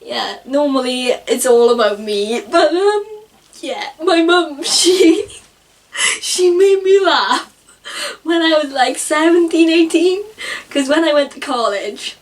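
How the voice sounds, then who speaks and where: Giggly high-voiced woman, twenties. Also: lively intonation, slow pace, distant mic. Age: 20s. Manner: giggly, self-conscious, rambling. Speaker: vlogger. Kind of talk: unscripted vlog, casual talk to camera.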